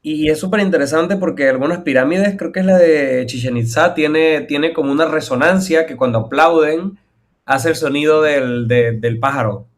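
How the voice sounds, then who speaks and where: A man with a Venezuelan accent, co-hosting a podcast and telling stories with lively enthusiasm. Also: a little high, normal speed. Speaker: podcast co-host. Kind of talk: casual chat.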